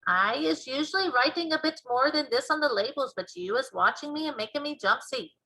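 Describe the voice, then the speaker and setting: A woman voicing a gentle, solemn old male giant who talks in quirky mangled grammar in a children's storybook. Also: sits high, lively intonation, brisk, exaggerated voice, poor audio. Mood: solemn, reassuring.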